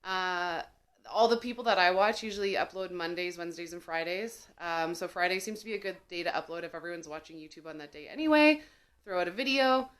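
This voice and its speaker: A woman's voice: lowish voice, brisk, a bit bright. Chatty young woman with a cold. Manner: chatty, with many fillers and laughs. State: getting over a cold, sniffly. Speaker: cooking vlogger. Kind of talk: casual chat to camera.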